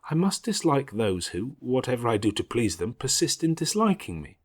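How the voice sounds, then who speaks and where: An indignant, impatient young girl from a classic storybook, voiced by a man, with the pitch pushed up and swinging freely. The delivery is urgent, formal and emphatic, with a slightly husky edge. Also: big pitch swings, normal speed. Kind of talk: audiobook character acting.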